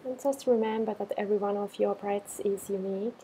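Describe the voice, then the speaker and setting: A woman teacher leading a guided meditation in a calm, unhurried manner, giving simple instructions with gentle pauses. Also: mid-range voice, a little hiss or hum, rough recording.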